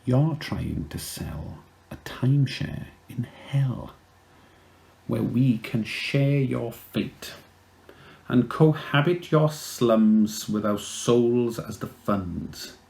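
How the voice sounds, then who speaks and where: Welsh male poet, theatrical reciter, extremely slow pace with creaky fry. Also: mid-range voice, expressive, darkish tone. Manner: deliberate, theatrical. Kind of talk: dramatic poetry recital.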